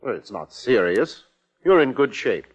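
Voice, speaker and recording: An actor playing an authoritative, reassuring doctor in a 1950s radio drama, firm and matter-of-fact. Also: male voice, mid-range voice, very animated, measured pace, husky. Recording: dull 1950s radio recording.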